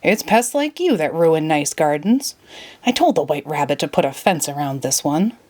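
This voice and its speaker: Storybook dodo gentleman acted by a woman: pompous, grumbling, oblivious, with lively intonation. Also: low voice, normal speed, pitched below the performer's own voice. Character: grumpy, oblivious, pompous male dodo bird.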